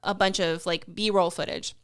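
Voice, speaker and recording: A woman's voice: lowish voice, fairly even intonation, normal speed, smooth. A middle-aged American woman chatting casually and enthusiastically as she hosts a vlog, in an informal way. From California, United States. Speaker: vlog host. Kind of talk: casual conversational recap.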